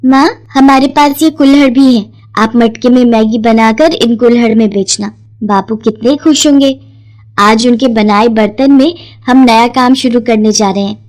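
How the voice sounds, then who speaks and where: Woman voicing an eager, high-pitched schoolgirl. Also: moderate pace, darkish tone, lightly acted. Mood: eager, hopeful. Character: bright, eager, caring teenage schoolgirl daughter. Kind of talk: storybook cartoon character voice.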